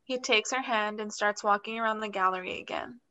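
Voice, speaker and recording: A woman's voice: medium pitch, a little fast, bright, forceful tone. A young woman reading stage directions as scripted narration in a play reading.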